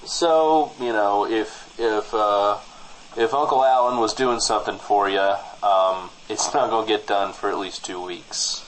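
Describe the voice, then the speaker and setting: A man playing a wry small-town nephew in improvised comedy banter, speaking hesitantly with dry, deadpan wit. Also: on the low side, unhurried, slight background noise, natural voice, poor audio. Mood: wry, exasperated. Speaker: podcast co-host playing a character.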